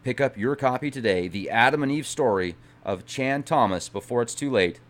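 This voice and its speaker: A man's voice: mid-range voice, lively intonation, moderate pace. A man hosting an online channel, reading a book aloud with dramatic emphasis and breaking off for casual asides. He sometimes stumbles over words and corrects himself.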